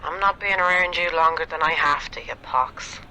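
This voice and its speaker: Male actor doing a sharp, bright, snooty female assassin with a gravelly edge. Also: on the high side, moderate pace, a little hiss or hum, pitched above the performer's own voice, rough recording. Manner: snooty, dismissive. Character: haughty young woman assassin, prickly and proud.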